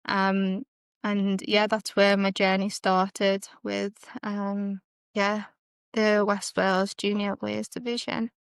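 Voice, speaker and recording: A woman's voice: mid-range voice, little pitch movement, slow pace, vocal fry, smooth, dry recording, studio quality. A young woman with a Liverpool accent, a guest on a podcast, talking casually with many fillers and some hesitation. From Liverpool, England. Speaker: guest, costs consultant.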